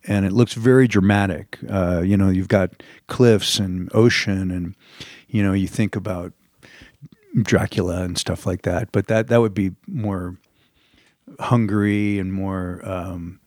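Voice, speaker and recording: A man's voice: sits low, fairly even intonation, a little slow, darkish tone, no echo, clean studio sound. A middle-aged American man hosting an informal radio interview show in a rambling, conversational way. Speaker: radio show host, touring singer-songwriter showcase organizer.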